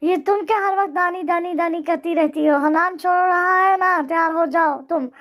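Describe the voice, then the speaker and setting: Woman voicing bossy older sister, brisk, scolding, high hoarse voice. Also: fairly even intonation, fairly bright tone.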